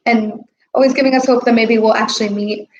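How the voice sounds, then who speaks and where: A woman's voice: fairly high pitch, steady tone, fairly quick, echoey room, dull audio, a little hiss or hum. A young woman guest on a live stream, chatting casually about her studies and saying "like" a lot. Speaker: language student guest.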